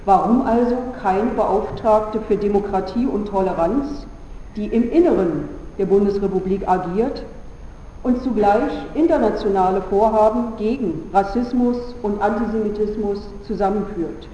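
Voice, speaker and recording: A woman from Berlin giving a formal, measured conference speech as a politician, earnest, with deliberate pauses. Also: medium pitch, mellow, slight background noise. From Berlin, Germany. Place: large conference hall. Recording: echoey hall, dull sound, faint ambience.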